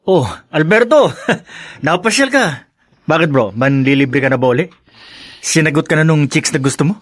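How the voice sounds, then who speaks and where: A breezy, nosy best friend in a Filipino radio drama. His voice is on the high side and closely recorded without echo. He speaks bluntly, in street slang. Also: lively intonation, moderate pace. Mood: teasing. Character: breezy, nosy male buddy giving blunt advice.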